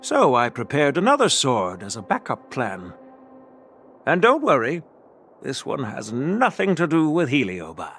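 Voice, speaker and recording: A man's voice: mid-range voice, wildly swooping pitch, a little slow, fairly bright tone, breathy edge, soft background music, exaggerated voice. An actor playing an elderly general and doting grandfather in a video game, patient and easily amused. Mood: calm, fond. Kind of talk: scripted game voice acting.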